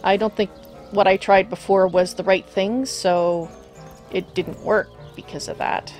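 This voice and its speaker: A woman streaming a video game live, slightly hoarse from a cold, chatting with viewers and thinking aloud as she plays. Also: medium pitch, steady tone, measured pace, darkish tone, soft background music. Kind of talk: casual live gameplay commentary.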